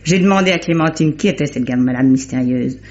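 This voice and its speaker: A woman's voice: sits low, a little fast, darkish tone, lightly acted. An actress playing a shrewd, self-assured lady investigator, speaking knowingly and articulately in old-fashioned radio drama style. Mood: confident, explanatory. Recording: dull, faintly noisy old radio recording.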